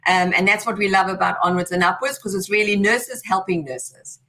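A woman's voice: medium pitch, fairly quick, sharp tone, low-quality recording. A woman hosting an interview, asking questions with frequent ums and easy laughs. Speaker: podcast host.

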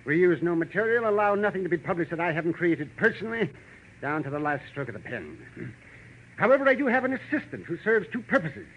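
An actor playing a gruff, middle-aged veteran cartoonist, imperious, fussy and impatient, in 1940s radio drama style. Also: male voice, fairly high pitch, big pitch swings, fast. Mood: brisk, self-important. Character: tall, gruff, crabby veteran male cartoonist. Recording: muffled, noisy vintage radio recording.

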